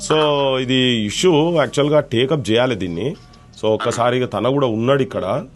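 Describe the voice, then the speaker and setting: A man's voice: mid-range voice, moderate pace, music in the background. A man with a Telangana Telugu accent speaking informally on a phone call, insistent and repetitive, mixing in English words. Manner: insistent, repeats himself, mixes in English words. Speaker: caller raising a complaint on speakerphone.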